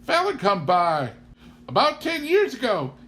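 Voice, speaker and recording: Man putting on a high-pitched, folksy farm wife. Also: wildly swooping pitch, a little slow, fairly bright tone, full character voice. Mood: flustered, rambling. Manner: folksy rustic grammar, trailing off. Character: shy, coarse middle-aged farm wife, embarrassed and rambling. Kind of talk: storybook character voice in an audiobook reading.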